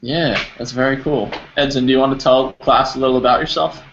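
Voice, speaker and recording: A man's voice: mid-range voice, fairly even intonation, brisk, a bit bright, slight background noise. A man, teaching an English class online in a friendly, encouraging way with simple words. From New Jersey, United States. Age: 20s. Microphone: video call. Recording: muffled video call audio.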